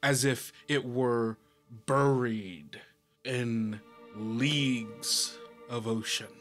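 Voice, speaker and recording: A man runs a tabletop game, narrating with suspense. His mid-pitched voice moves slowly, each short phrase placed with care. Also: fairly bright tone, soft background music. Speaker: game master.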